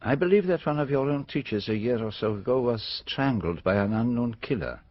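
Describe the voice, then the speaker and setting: A man's voice: mid-range voice, animated, brisk, lightly acted. A man playing a methodical, courteous foreign detective with a French accent and precise speech in a radio drama. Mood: questioning. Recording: slightly dull, noisy radio drama audio.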